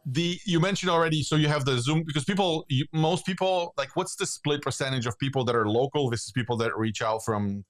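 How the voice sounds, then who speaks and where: A man's voice: fairly high pitch, brisk, few pauses. A man from Poland hosting a podcast, asking rambling questions and answering with lots of yeah. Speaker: podcast host. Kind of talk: casual interview.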